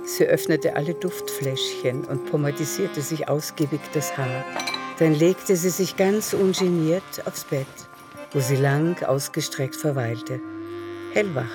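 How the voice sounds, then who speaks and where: A woman's voice: sits low, measured pace. Woman narrating a literary radio drama. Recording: music behind.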